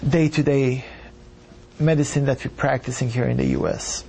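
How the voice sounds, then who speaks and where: A doctor from Iran telling his story in an earnest, measured way. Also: medium pitch, sing-song, darkish tone, slight background noise. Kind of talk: reflective personal account.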